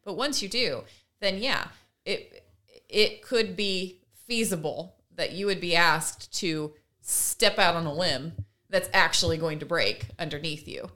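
A woman's voice: lowish voice, measured pace, sharp tone. A woman co-hosting a casual podcast discussion, speaking in an earnest, opinionated and emphatic way. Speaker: podcast co-host.

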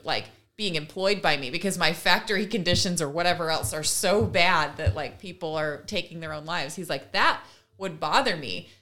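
A woman's voice: fairly low pitch, bright, forceful tone. A woman co-hosting a conversational podcast, casually retelling things she has heard. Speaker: podcast co-host.

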